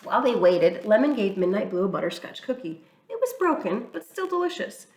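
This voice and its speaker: A woman's voice: mid-range voice, brisk. Woman reading a storybook, echoey. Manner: expressive, storytelling. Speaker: church reader. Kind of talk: children's picture book read-aloud. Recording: echoey room, mic far off.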